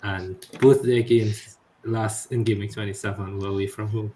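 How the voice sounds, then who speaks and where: Man in an echoey room, measured tone. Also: fairly low pitch, steady tone, warm tone. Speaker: podcast co-host. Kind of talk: casual chat about fantasy football. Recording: echoey room with faint noise.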